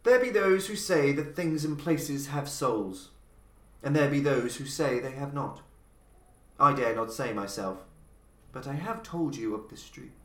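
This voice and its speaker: A man, the podcast's host, reading a horror story aloud in a measured, theatrical style. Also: medium pitch, expressive. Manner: measured, theatrical storytelling with slow emphatic passages. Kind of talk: dramatic scripted narration of a horror story. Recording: roomy echo.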